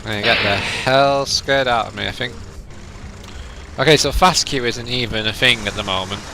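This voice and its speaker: A man's voice: mid-range voice, moderate pace, gravelly, noisy background. A young man from northern England with a Lancashire accent, streaming a ride simulator game and chatting casually with viewers, sounding a little nasal from a cold. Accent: northern English, Lancashire. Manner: chatty and rambling, reads chat aloud.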